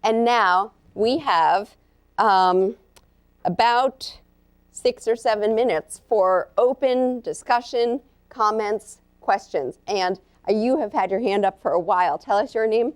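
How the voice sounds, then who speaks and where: A woman professor giving a live lecture to an audience, earnest and halting, with many ums and uhs. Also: medium pitch. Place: lecture room.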